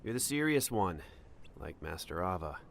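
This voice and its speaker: A man's voice: low-pitched, big pitch swings, moderate pace, gravelly, a little hiss or hum, full character voice. A man playing a cool, laid-back, wry young man in an anime-style game fan dub.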